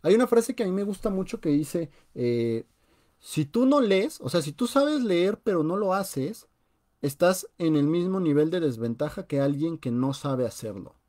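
A young Mexican man hosts a live finance stream from a small room at home, speaking through his webcam. His voice sits a bit high for a man, and he talks in a casual, emphatic way, filling pauses with "o sea" as he explains his points. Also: sing-song, a little mellow. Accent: Mexican Spanish. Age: young adult. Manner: conversational, emphatic, leans on filler "o sea". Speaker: personal finance host. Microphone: webcam on a video call.

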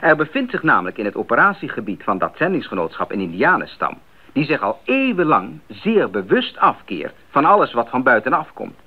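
A man's voice: mid-range voice, huge pitch swings, fairly quick, breathy edge, narrow, tinny audio, heavily acted. An actor playing an earnest, idealistic young missionary explaining himself to his parents in a radio drama. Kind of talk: 1980s Dutch radio drama acting. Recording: thin band-limited old radio recording.

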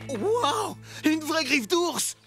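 A man's voice: very high-pitched, big pitch swings, drawn-out words, a bit bright, soft background music, full character voice. A man playing a cheerful, affectionate husband and father with hearty delivery in a French children's cartoon. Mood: proud, amazed.

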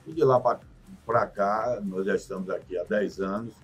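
A man, a guest in a radio studio interview explaining things in a measured way, often adding "né". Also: lowish voice, breathy edge. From Salvador, Bahia, Brazil. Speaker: guest, real estate developer. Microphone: studio condenser mic on boom arm.

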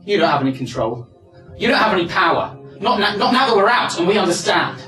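A man's voice: sits high, big pitch swings, fast, bright, forceful tone, heavily acted. A young man on stage playing a defiant, confrontational character rebelling against his controller, with emphatic theatrical delivery. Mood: challenging. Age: young adult. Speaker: stage actor. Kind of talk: theatrical stage acting, heated dialogue. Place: dark theatre stage, indoors. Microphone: far camera. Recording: echoey stage, distant camera microphone, music behind.

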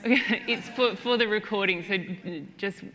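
A woman in her forties with an Australian accent, calmly moderating an on-stage conversation. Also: medium pitch, normal speed. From Perth, Western Australia. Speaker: host, moderator. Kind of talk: on-stage interview. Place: large hall on stage before an audience. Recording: long hall reverb, faint noise.

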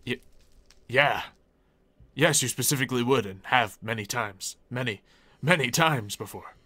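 Man playing a cocky werewolf, bright voice. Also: medium pitch, measured pace. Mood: flippant, eager. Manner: flippant, impulsive, casual. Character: cocky, reckless male werewolf pack second-in-command. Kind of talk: improvised game roleplay acting. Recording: music behind the voice. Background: background music.

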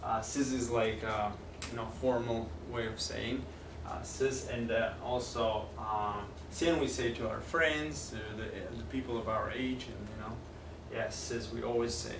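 A man's voice: mid-range voice, fairly even intonation, a little slow, few pauses. A young man from Kazakhstan with a Kazakh accent, a native Kazakh speaker, talking in a casual, hesitant way with many fillers and restarts as he gives a video lesson. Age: young adult. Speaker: language teacher. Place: classroom with whiteboard, indoors. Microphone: far camera. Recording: echoey room, distant mic, noisy.

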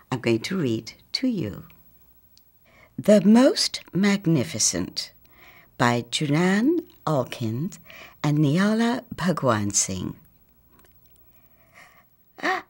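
Slow, animated older Trinidadian woman storyteller. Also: on the low side, slightly rough. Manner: deliberate, theatrical. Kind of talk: expressive children's story reading.

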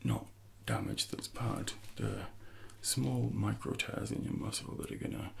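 Hushed, gravelly man doing ASMR coaching. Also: fairly low pitch, huge pitch swings, unhurried, slight background noise. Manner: hushed, often dropping into whispers, instructive. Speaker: ASMR performer playing a personal trainer. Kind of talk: soft-spoken ASMR roleplay.

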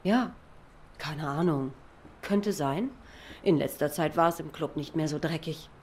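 An obliging young diner waitress in a noir game. Her voice is slightly low, plain and hesitant, with faint noise behind it. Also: measured pace, a little mellow. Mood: thoughtful, sympathetic. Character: obliging, down-to-earth cat waitress, young adult. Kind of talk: noir video game dialogue.

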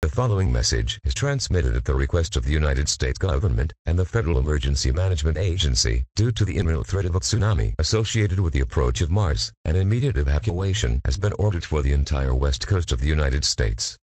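Man, extremely deep voice, lively intonation, formal emergency alert reading. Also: fast talker, few pauses, breathy edge, no room sound. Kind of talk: formal scripted evacuation announcement.

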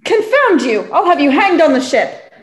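A young woman playing a stern, blustering gentleman squire in a radio play. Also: high-pitched, sing-song, moderate pace. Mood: alarmed, furious. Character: blustering, indignant English squire, male gentleman. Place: indoor room, remote video recording. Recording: echoey room, remote recording.

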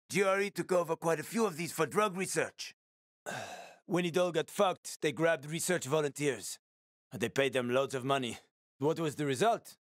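A man's voice: mid-range voice, dry recording, close to an everyday voice. A man acting a wry survivor, dry close recording. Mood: wry, subdued. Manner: casual, sardonic, conversational. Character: wry, world-weary male survivor and smuggler. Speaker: video game voice actor. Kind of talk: video game character dialogue.

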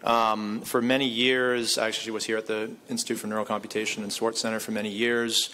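A man in his thirties, a neuroscientist introducing himself in a relaxed way on a conference panel into a table microphone. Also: lowish voice, brisk, slight background noise, low-quality recording. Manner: relaxed, reflective, fillers. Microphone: table mic on stand.

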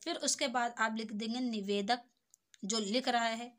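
A woman's voice: on the high side, fairly even intonation, a little slow, fairly bright tone. A young adult woman giving an informal lesson, explaining step by step and often checking that the listener follows. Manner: explanatory, repeatedly checking understanding with tag phrases. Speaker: tutor.

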